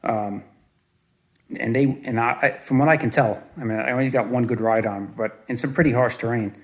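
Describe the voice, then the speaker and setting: A man's voice: medium pitch, moderate pace. A man in his forties from southern Arizona giving a casual, rambling walkaround review at home outdoors, full of fillers and restarts. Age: 40s. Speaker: vlogger reviewing his own quad.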